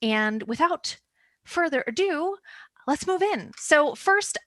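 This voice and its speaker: A woman's voice: high voice, lively intonation, slow, a bit bright, no room sound. A woman moderating an online roundtable over a video call, chatty and upbeat, stretching words for emphasis.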